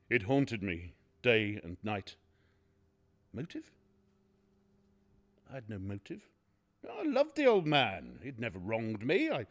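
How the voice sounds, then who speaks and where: An actor playing a nervous, unhinged madman in a gothic radio drama monologue, halting and theatrical in his delivery. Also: medium pitch. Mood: brooding. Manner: halting, stammering, theatrical, swinging from whisper to outburst. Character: nervous, unhinged madman confessing a murder obsession. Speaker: narrator actor in a radio play.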